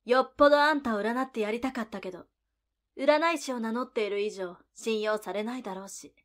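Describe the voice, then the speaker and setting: A woman's voice: on the high side, brisk, lightly acted. Woman playing a cool, alluring, defiant lady. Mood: confident. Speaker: voice actor. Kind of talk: anime-style voice drama acting.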